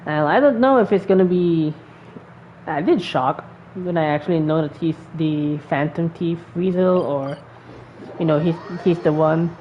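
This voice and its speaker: A woman streamer rambles casually over a game. Her voice sits fairly low, and the recording is dull and lo-fi with faint noise behind it. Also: unhurried. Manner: rambling, informal. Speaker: gameplay streamer. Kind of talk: casual gameplay commentary.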